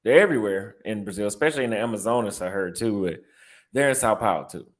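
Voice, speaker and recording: Casual vlogger, young man with a Texas accent, lowish voice, loose and rambling delivery. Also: expressive, fast. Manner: loose, rambling, reacting aloud with repeated phrases. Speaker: vlogger and barber. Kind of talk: casual vlog, browsing apartment listings.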